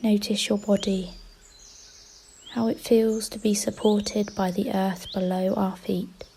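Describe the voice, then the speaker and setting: A woman leading a guided meditation in a gentle, unhurried way, pausing between short instructions. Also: medium pitch, little pitch movement, gravelly, a little hiss or hum. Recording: faint outdoor ambience with birdsong. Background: birdsong.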